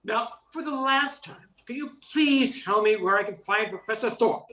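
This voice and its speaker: Radio play: young male professor, stiff, indignant, flustered; older woman performing, high voice, roomy sound. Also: moderate pace, a bit bright, lightly acted. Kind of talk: amateur radio play acting.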